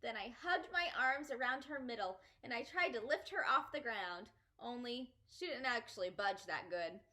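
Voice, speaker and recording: A woman narrates a children's book with very lively, swooping intonation. Her voice is fairly high and bright, with an expressive storyteller's delivery. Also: moderate pace. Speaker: read-aloud storyteller.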